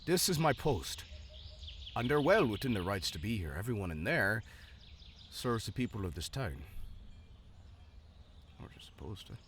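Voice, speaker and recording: A loyal town guard, voiced by a man in a fantasy audio drama. He speaks with animated intonation and dry, respectful loyalty. A faint outdoor ambience is audible behind him. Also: lowish voice, normal speed, long pauses, a little hiss or hum. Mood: guarded, protective. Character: loyal, dry-humored male town guard, protective friend. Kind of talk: fantasy audio roleplay acting.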